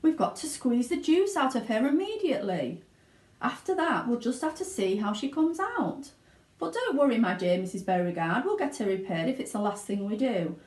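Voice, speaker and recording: A woman's voice: a little high, sing-song, normal speed, darkish tone, roomy sound, voice pushed up. A woman voicing a fussy, eccentric male sweet-maker in a storybook, plaintive, sighing and resigned.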